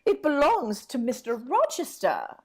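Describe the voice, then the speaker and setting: A woman's voice: sits high, huge pitch swings, a little slow, full character voice. A woman playing a kindly, genteel elderly housekeeper in a vintage-style radio drama, with a fussing, exclamatory manner. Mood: kindly, chatty. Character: kindly, chatty elderly housekeeper, slightly deaf.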